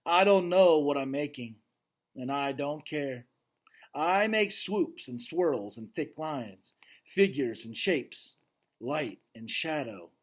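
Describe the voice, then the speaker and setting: A gentle, earnest gorilla from a children's storybook, as a man reads him aloud. The voice sits slightly high for a male and moves slowly, with hushed, dramatic phrasing and a wistful temper. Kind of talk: children's novel read aloud, first person.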